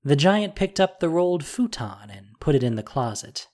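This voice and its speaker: A narrator reading a scripted fantasy audio drama in a measured, dramatic, descriptive way. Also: mid-range voice, big pitch swings, a little mellow.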